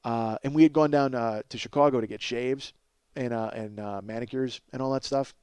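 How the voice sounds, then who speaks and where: Middle aged guy doing a comedy podcast, rambly and sweary, makes fun of himself, gets worked up and exaggerates, dry sound, average pitch. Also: fairly quick, smooth, no room sound. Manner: profane, self-deprecating, digressive; exaggerates for comic effect, excitable. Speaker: podcast host, comedic storyteller. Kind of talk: casual, rambling comedic monologue.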